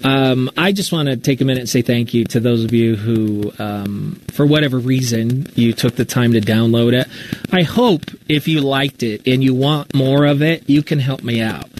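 A man's voice: mid-range voice, moderate pace, darkish tone, a little hiss or hum, poor audio. A man talking casually and candidly about his own work in a live Q&A, with a self-deprecating manner. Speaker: radio talk show host and podcast storyteller.

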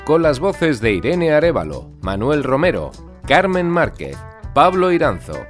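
Guy reading show credits, really animated delivery, guitar music playing behind him. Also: fairly high pitch, unhurried. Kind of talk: scripted narration. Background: plucked guitar music.

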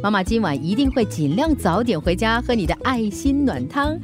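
High-voiced, harried Singaporean mum. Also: female voice, big pitch swings, normal speed, over music. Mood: tender. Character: harried, plucky working mother turned food delivery rider. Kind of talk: radio drama acting.

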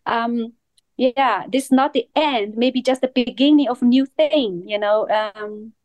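A woman's voice: fairly high pitch, measured pace, a little mellow, no room sound, muffled recording. A woman co-hosting a podcast in English, which is not her first language, speaking earnestly in simple phrasing. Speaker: podcast co-host. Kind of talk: casual podcast chat.

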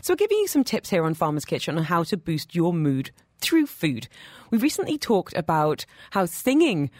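A woman hosting a radio talk show, her voice sitting a little low. She chats in an upbeat way and laughs easily. The studio sound is completely dry and close. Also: huge pitch swings, normal speed, a little mellow, no echo. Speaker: radio host.

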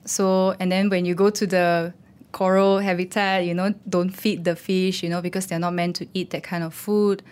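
A woman's voice: lowish voice, little pitch movement, moderate pace, darkish tone, clear, resonant tone. A woman, an animator and teacher, talking loosely in an interview with many "you know"s. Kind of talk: casual interview talk.

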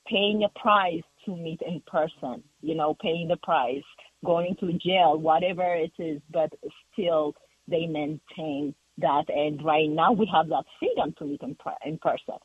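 A man's voice: a little high, husky, no room sound. A man from Ethiopia with an Ethiopian accent, calling in to a radio talk show and speaking hesitantly with many fillers. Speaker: caller. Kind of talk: casual call-in chat. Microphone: telephone. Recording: tinny phone line.

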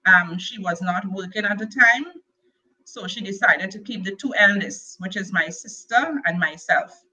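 A middle-aged woman from Tobago with a Tobagonian accent, sharing her personal testimony as an interview guest in a soft, hesitant way full of fillers and restarts. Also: mid-range voice, normal speed, breathy edge, poor audio.

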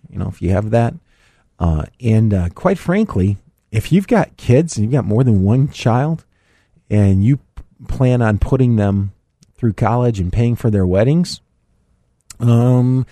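A middle-aged man hosts a live radio show, his voice a little raspy and stuffy. He talks in a loose, rambling way, full of fillers and restarts, with a lowish, mellow tone. The studio sound is close and dry. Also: no room sound. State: raspy, a bit congested after illness. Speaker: radio show host, financial advisor. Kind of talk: casual live call-in radio talk.

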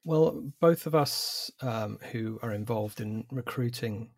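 A man in his forties with an English accent, a publisher answering interview questions in a measured, thoughtful way with some fillers. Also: mid-range voice, no room sound. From England. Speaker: publisher, guest.

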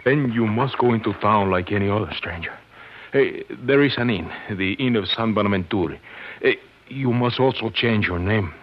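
An actor playing a loyal, anxious elderly Mexican servant with a Spanish accent and halting, deferential delivery in the style of 1950s radio drama. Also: male voice, lowish voice, lightly acted. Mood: urgent, secretive. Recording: noisy, muffled old 1950s radio recording.

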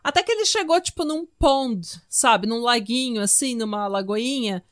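High-voiced Brazilian woman telling true-crime stories. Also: animated, moderate pace. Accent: Brazilian Portuguese. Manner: informal, conversational, full of asides. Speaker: podcast host. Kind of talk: casual true-crime storytelling among friends.

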